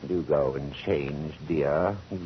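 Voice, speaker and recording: A man playing a fussy, affectionate gentleman sidekick, speaking in a florid, arch, affectedly genteel way in 1940s radio style. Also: extremely low pitch, slow. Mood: arch, chatty. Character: fussy, theatrical, affectionate gentleman best friend of detective. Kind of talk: 1940s radio comedy-drama acting. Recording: dull, hissy 1940s radio recording.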